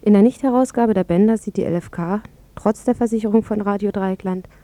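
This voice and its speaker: Mellow-voiced woman presenting radio news. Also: mid-range voice, brisk, no echo. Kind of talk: scripted news commentary, read out.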